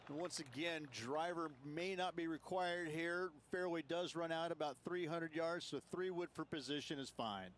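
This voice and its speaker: A man's voice: fairly high pitch, moderate pace, few pauses, fairly bright tone, natural voice. A man voicing an easygoing golf analyst in a video game, giving approving, conversational broadcast commentary. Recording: faint crowd and ambient noise.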